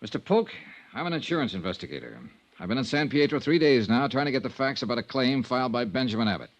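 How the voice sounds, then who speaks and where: Male radio-drama insurance investigator played by an actor, measured questioner, dry vintage low-fidelity sound. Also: lively intonation, no echo, lightly acted. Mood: worried, earnest. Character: steady, probing insurance investigator, adult man. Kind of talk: 1950s radio detective drama acting. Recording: dull vintage radio recording.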